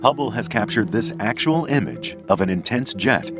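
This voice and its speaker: A man narrating a scripted science documentary in a measured, explanatory way. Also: fairly low pitch, lively intonation, loud music behind the voice.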